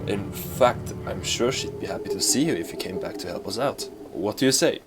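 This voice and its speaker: Evasive, self-important hero president, male actor: blustery, very animated pitch, music underneath, comic fan dub. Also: a little fast, natural voice. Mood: coaxing. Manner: blustery, defensive. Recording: music behind.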